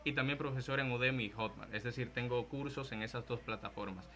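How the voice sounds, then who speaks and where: A man's voice: mid-range voice, brisk, bright, forceful tone, slight background noise. A man in his early twenties with a Dominican Spanish accent, presenting a casual programming talk with slides and the odd joke. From Dominican Republic. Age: 20s. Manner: casual, joking, with frequent fillers and restarts.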